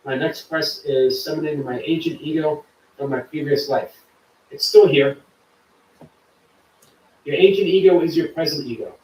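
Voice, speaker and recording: A man's voice: on the high side, unhurried, pauses often, echoey room, distant mic, low-quality recording. A man hosting a live stream, chatting casually and reading viewer comments aloud. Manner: chatty, warm to viewers, reads chat aloud. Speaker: livestream host.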